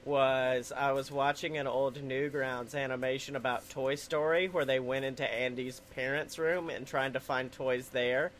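A man's voice: mid-range voice, steady tone, normal speed, hardly any pauses, a bit bright. A man co-hosting a comedy podcast, chatting casually with boisterous, goofy riffing. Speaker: podcast co-host.

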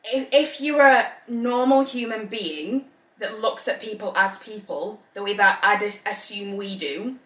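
A woman's voice: medium pitch, a little slow, fairly bright tone, distant mic. A woman from Zambia co-hosting a podcast, thinking aloud with restarts and easy laughs. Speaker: podcast co-host. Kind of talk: casual chat. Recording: echoey room, voice a little off-mic.

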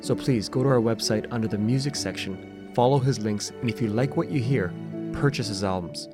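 A man's voice: mid-range voice, brisk, music in the background. A man hosting a podcast, reading a scripted outro with a song playing under his voice. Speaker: podcast host.

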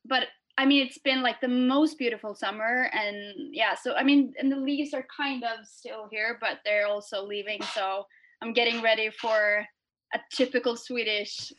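A woman's voice: on the high side, steady tone, normal speed, a bit bright. A young woman from Norway, a guest speaking casually over a video call, hesitant with many fillers and restarts. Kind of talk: casual interview chat.

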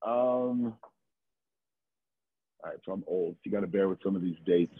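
Middle-aged man, husky voice, casual storyteller. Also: sits low, moderate pace, phone-quality sound. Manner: informal, slangy, swearing, frequent "like" and "you know". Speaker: podcast guest, skateboarder.

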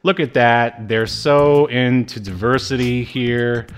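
A man's voice: mid-range voice, steady tone, slow, smooth, soft background music. An American man chatting casually as a podcast co-host, with jokey, mock-indignant asides. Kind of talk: casual review banter.

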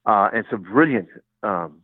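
A man's voice: fairly low pitch, animated, measured pace, husky, no echo. A man whose first language was Sicilian, a college English professor telling his story in an interview, with a rambling manner and many restarts. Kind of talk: relaxed interview conversation. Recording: tinny phone-like line, dry.

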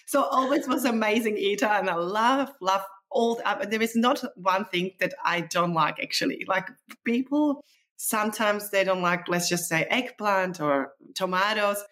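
A woman's voice: medium pitch, moderate pace, a bit bright. A woman from the former Czechoslovakia, a nutritionist guest, telling stories in a chatty, enthusiastic way with non-native phrasing. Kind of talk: casual conversational storytelling.

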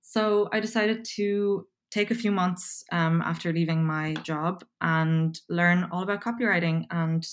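A woman's voice: fairly low pitch, fairly even intonation, measured pace, hardly any pauses. A woman in her twenties with an Irish accent, chatting casually as an interview guest and filling her talk with likes and ums. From Poland. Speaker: guest, copywriter.

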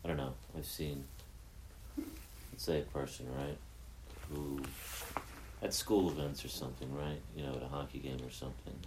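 A man's voice: extremely low pitch, fairly even intonation, moderate pace, long pauses, background noise. A man chatting casually in a group class, rambling through a story with lots of fillers. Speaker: class participant. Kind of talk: casual chat.

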